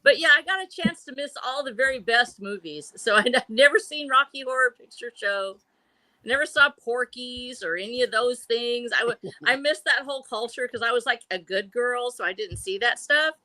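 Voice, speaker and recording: A woman in her sixties with a bright, sharp-edged voice that sits a little high. She talks in a bubbly, laugh-filled way as a guest on a late-night video stream. Her sound is dry and close, coming over a home computer mic. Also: normal speed, no echo, rough recording. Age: 60s. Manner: bubbly and chatty, laughs often. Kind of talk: casual chat on a live stream.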